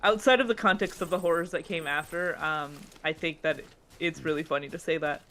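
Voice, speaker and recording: A co-host who speaks English as a second language, rambling with many restarts in casual stream chat. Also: female voice, deep voice, fairly quick, a bit bright, a little hiss or hum. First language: not English.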